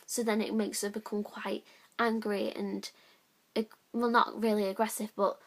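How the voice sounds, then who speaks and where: A young woman, a drama student, reflecting on her audition in a halting way with many fillers. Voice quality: high-pitched voice, by her own account. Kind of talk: reflective spoken account.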